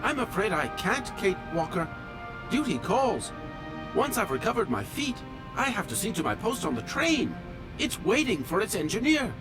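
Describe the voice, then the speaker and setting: A man's voice: sits high, wildly swooping pitch, normal speed, music in the background. A male actor voicing a fussy, polite automaton train engineer in a video game, with formal, precise, pedantic diction. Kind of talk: video game character dialogue.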